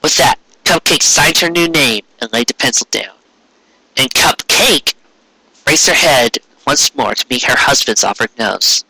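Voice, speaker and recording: Male storyteller, very bright voice, lively intonation, scripted fan fiction narration, dramatic reading. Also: mid-range voice, a little slow, slightly rough, no room sound, rough recording.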